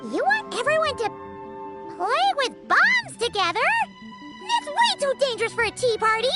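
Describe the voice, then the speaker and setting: A woman voicing a tiny, excitable, fussy fairy companion with a squeaky, childlike voice for a video game. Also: normal speed. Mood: surprised. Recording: loud music under the voice. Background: loud children's music.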